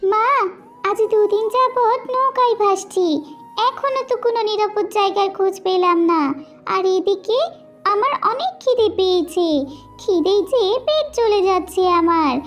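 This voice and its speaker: Very high plaintive little girl. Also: muffled recording, soft background music. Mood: whining, hungry. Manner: plaintive, drawn-out calls to mother. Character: hungry young daughter, pleading and eager.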